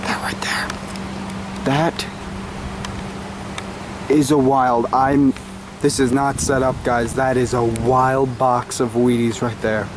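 Young guy doing deadpan fake nature show in his backyard, talks slow, kinda noisy camera audio. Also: mid-range voice. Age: young adult. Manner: halting, deadpan mock-reverent delivery. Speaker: host of a comedy cooking show. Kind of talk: mock nature-documentary vlog to camera. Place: outdoors in a suburban backyard. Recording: noisy outdoor camera audio, background hiss.

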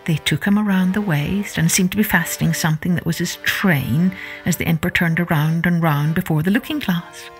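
A woman narrating a children's storybook as a podcast host, in an expressive, deliberate manner. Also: lowish voice, faint music behind.

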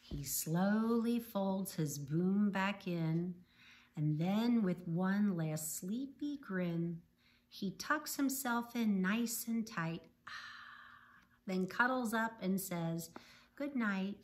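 A middle-aged woman reading a bedtime picture book aloud in measured, rhythmic verse. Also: mid-range voice, animated, a little mellow. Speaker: volunteer story reader. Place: small living room, indoors on a sofa.

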